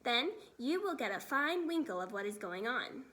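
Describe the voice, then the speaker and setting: A woman voicing a kindly, excitable, very old male giant who talks in muddled grammar and whimsical made-up words for a storybook. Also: fairly high pitch, normal speed. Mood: urgent, conspiratorial.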